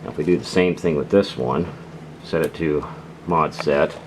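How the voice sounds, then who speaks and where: Low-pitched man over clear noise. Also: normal speed. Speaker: radio hobbyist reviewer. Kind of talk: casual unscripted product demonstration.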